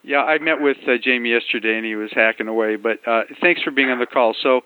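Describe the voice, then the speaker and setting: A man hosting a coaching group call, encouraging and halting, with many fillers. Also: mid-range voice, clean tone. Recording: dry, tinny phone line.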